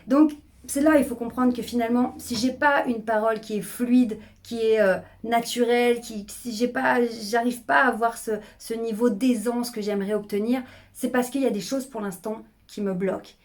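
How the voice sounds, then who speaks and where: A middle-aged woman speaking as a public speaking coach, energetic and emphatic, often repeating phrases to drive a point home. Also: fairly high pitch, moderate pace. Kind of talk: coaching video monologue.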